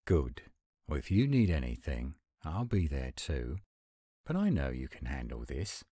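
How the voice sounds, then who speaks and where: A man playing a gruff, weary but kind werewolf pack leader, measured and plain-spoken, in an audio roleplay. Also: a bass voice, huge pitch swings, dark tone, no room sound, clean studio sound, exaggerated voice. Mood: calm, firm.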